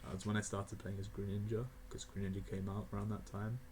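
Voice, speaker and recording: A young man of eighteen talks loosely through his rankings, his voice sitting a little low, with frequent chuckles and filler words. A faint hum of the room sits behind him, with the odd mouse click. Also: completely flat delivery, fast talker, darkish tone. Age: young adult (18). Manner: rambling, laughing often, many fillers. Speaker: gaming video creator. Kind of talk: casual tier list commentary.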